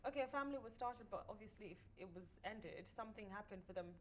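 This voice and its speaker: A woman's voice: mid-range voice, quick pace, slight background noise. A woman, a podcast guest who challenges others with probing questions. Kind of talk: casual debate.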